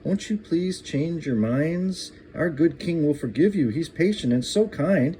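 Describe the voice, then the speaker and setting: An earnest storybook servant of a king, voiced by a man, pleads and invites in formal words. The intonation is raised and animated, with slight noise behind. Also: fairly high pitch, unhurried, voice pushed up, poor audio. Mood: pleading.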